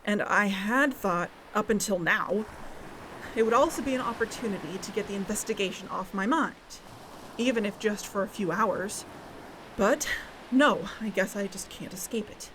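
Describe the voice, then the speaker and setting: A woman acting a guarded, thoughtful young investigator in a naturalistic audio drama, speaking in a measured, hesitant, introspective way. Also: medium pitch, noisy background, close to an everyday voice. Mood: reflective, weary. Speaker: podcast narrator and lead character. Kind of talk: naturalistic audio drama, reflective narration and dialogue.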